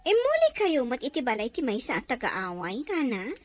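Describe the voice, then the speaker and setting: A very high-pitched young woman, played by an actress in an audio drama. She is coy and teasing, swinging animatedly through her lines in a dry, close recording. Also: wildly swooping pitch, normal speed, no echo, faint music behind, full character voice. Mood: coy scheming. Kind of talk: Ilocano radio-style drama acting.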